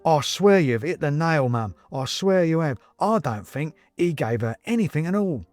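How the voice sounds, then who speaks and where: A man's voice: a little high, expressive, moderate pace, darkish tone, dry recording, pitched above the performer's own voice, natural voice. A man voicing a fussy, chatty little male china ghost in a storybook, with genteel old-fashioned phrasing and needling politeness. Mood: nosy, shocked.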